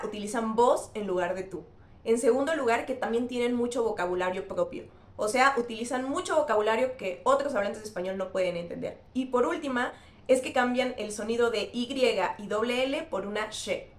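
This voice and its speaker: Woman host teaching linguistics, clear and didactic, slightly high voice, echoey room. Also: moderate pace. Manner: clear, didactic, spells out examples. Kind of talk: scripted educational explainer.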